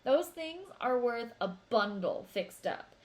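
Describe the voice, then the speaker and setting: An upbeat teenage big brother from a storybook, played by a woman reader. The voice is fairly high, playful and emphatic, full of brotherly bragging. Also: very animated, slow, a little mellow. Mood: boastful.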